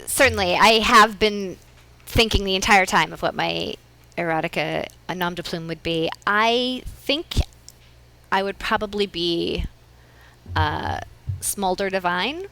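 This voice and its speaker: A woman guest on a comedy podcast in casual chat, musing slowly and playfully. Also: mid-range voice, creaky, bright, forceful tone, rough recording.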